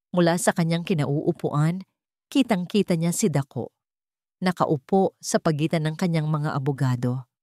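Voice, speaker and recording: A woman with a Filipino accent narrating a scripted dramatic story in a measured, theatrical way, phrase by phrase. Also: sits low, darkish tone, no echo, clean studio sound.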